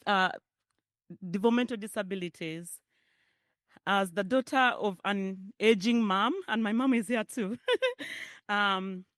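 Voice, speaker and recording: A middle-aged woman from Uganda with a Ugandan accent, speaking warmly and chattily at a public forum and laughing often. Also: medium pitch, clear, resonant tone, no echo, clean studio sound. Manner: warm, emotional, chatty asides with frequent laughter. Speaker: guest speaker, parent advocate. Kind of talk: personal speech at a public forum. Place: hotel ballroom event.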